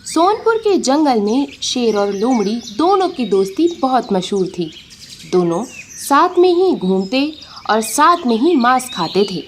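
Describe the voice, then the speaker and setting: A woman's voice: a little high, sing-song, measured pace. A woman narrating a scripted children's story as a storyteller. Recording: faint background noise, birdsong.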